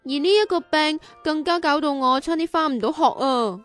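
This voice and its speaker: A woman's voice: sits high, moderate pace, soft background music, close to an everyday voice. A woman playing an earnest, sorrowful young girl in a children's radio drama, childlike and plaintive.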